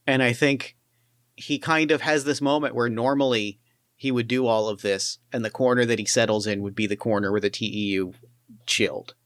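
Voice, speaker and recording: A man's voice: mid-range voice, steady tone, a bit bright, dry recording. Dry-voiced man narrating as game master. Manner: reflective, halting storytelling with many restarts. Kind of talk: narrating a solo tabletop game.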